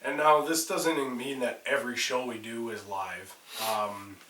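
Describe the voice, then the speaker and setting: A man's voice: mid-range voice, steady tone, normal speed, sharp tone, roomy sound, recorded from a distance, rough recording. A man co-hosting a casual horror podcast, talking with a nasal stuffiness from a cold and swearing freely between restarts. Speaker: podcast co-host. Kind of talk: casual chat.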